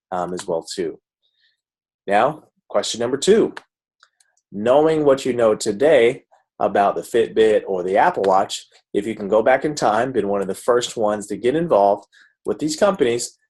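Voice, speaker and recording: Low-voiced man giving a webinar pitch. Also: a little mellow, breathy edge. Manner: informal, salesy, chatty with the audience. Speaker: network marketing presenter. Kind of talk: online recruitment webinar pitch. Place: live video call.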